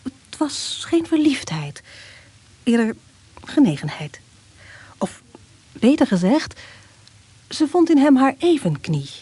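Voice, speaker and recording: A woman's voice: high voice, sing-song, takes it slowly, pauses often, warm tone, slightly rough, no room sound, full character voice. An actress playing a wry female storyteller, narrating a radio drama in a theatrical manner. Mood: wry, confiding.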